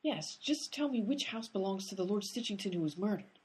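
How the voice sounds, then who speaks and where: A brisk, exasperated amateur sleuth, played by a woman in a radio comedy. Her voice is very breathy and her pitch leaps widely as she bosses people around. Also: lively intonation, darkish tone. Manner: exasperated and bossy. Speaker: lead character, amateur sleuth. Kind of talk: comic radio play acting.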